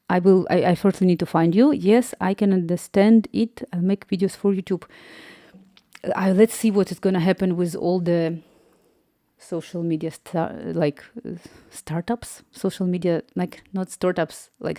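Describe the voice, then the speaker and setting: A woman's voice: lowish voice, fairly even intonation, measured pace, warm tone, dry recording, studio quality. A young woman from Ukraine with a Slavic accent, chatting casually on a live stream, laughing often and restarting words as she answers viewers.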